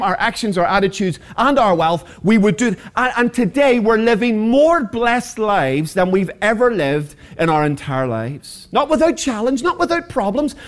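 A man, preaching a sermon as pastor, passionate and rhetorical with humorous asides. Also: high voice. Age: 40s.

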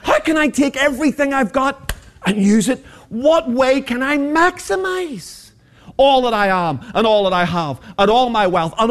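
A man in his forties preaches in a high voice that swoops constantly. His delivery is passionate and rhetorical, with joking asides to the congregation. The pitch climbs and falls over a very wide span. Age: 40s. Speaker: pastor. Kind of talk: sermon.

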